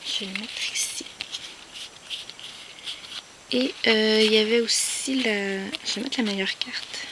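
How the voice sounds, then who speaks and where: A woman with a Québécois French accent, whispering softly as she shows off her collection, with many hesitant fillers. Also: mid-range voice, steady tone, a little slow, background noise. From Quebec. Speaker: collector showing finds. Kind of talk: soft whispered show-and-tell.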